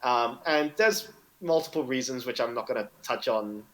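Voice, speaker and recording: A man's voice: mid-range voice, normal speed, a bit bright. A man who grew up in Indonesia, speaking as a fintech executive answering interview questions in a loose, conversational way, tagging sentences with "right?" and "you know". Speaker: fintech product executive, guest.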